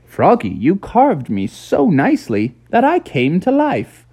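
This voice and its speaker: A storyteller voicing a cheerful, chatty talking pumpkin in a high, squeaky, excited voice. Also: measured pace, husky, full character voice.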